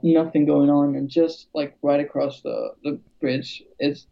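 A man's voice: a little high, steady tone, unhurried, creaky, dark tone. A man from Albania, a music producer and YouTuber, chatting casually with many fillers and restarts. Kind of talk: casual conversation. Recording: dull lo-fi sound.

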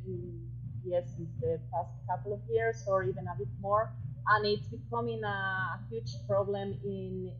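Hesitant woman guest on lo-fi audio. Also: medium pitch. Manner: hesitant, many pauses and fillers. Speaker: podcast guest, chemist. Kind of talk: casual interview answers. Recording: lo-fi with steady hum.

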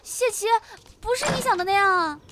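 An actress playing a hesitant but resolved teenage schoolgirl in an audio drama, soft and apologetic in manner. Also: very high-pitched, lively intonation, fairly quick, background noise. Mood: defensive pleading. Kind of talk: modern audio drama acting.

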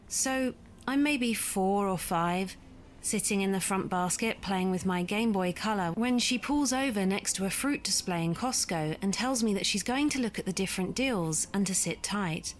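A woman's voice: mid-range voice, a little hiss or hum. A woman reading a scripted first-person story as a narrator. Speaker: guest reader of listener stories.

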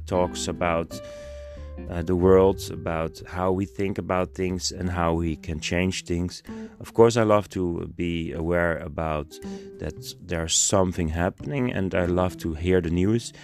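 Dutch man, podcast host, very low voice, halting words, faint music behind. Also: fairly even intonation, darkish tone. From Netherlands. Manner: halting, searching for words, many pauses. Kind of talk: casual podcast talk and street interview. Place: outdoors at a city square monument.

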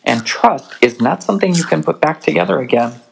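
A reader voicing a gentle, wise mother bear. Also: mid-range voice, lively intonation, a little fast, vocal fry, husky, full character voice, poor audio. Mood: gently sad. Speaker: storybook character voiced by the reader. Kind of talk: storybook reading aloud.

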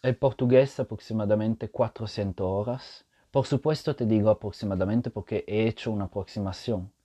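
Guy talking on his own podcast, lowish mellow voice, keeps checking "ok?" with you, spanish learned not native. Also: fairly even intonation, normal speed, slightly rough. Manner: explanatory, often checks in with "ok?"; speaks Spanish as an additional language. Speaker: podcast host. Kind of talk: casual solo podcast monologue.

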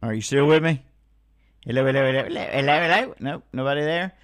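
A man's voice: medium pitch, quick pace, fairly bright tone, dry recording, clean studio sound. A man hosting a live radio call-in show in a casual, folksy, rambling way, playfully repeating himself. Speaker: radio host of a call-in swap shop and music show. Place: radio studio. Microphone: close studio microphone.

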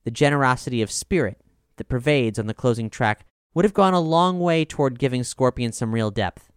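Male podcast narrator for scripted music reviews: crisp, resonant tone, dry studio sound, polished measured read. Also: on the low side, no echo. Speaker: album review reader and podcast host.

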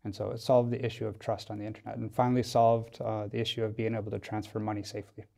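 A man's voice: lowish voice, level tone, fast, vocal fry, mellow. An American man speaking as an interview guest in a studio, explaining things thoughtfully with a hesitant delivery. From United States, Wisconsin. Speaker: podcast guest, tech company founder. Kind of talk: casual interview talk.

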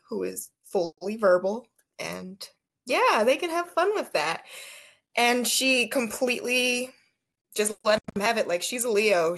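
A woman's voice: mid-range voice, fairly bright tone. A young woman co-hosting a casual podcast, blunt and dry as she tells stories. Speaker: podcast co-host.

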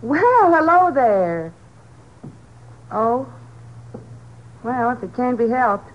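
High-voiced jaded nightclub girl. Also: very animated, drawn-out words, heavily acted. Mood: guarded. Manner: plain-spoken, slangy. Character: weary, nervous female nightclub performer mixed up with crook. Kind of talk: 1950s radio crime drama acting. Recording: dull, faintly noisy old radio recording.

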